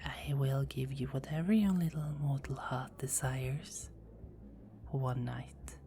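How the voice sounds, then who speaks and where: A woman's voice: rumbling low, measured pace, noisy background. A woman voicing a seductive, dominant female vampire in an ASMR roleplay, speaking in teasing, close whispers.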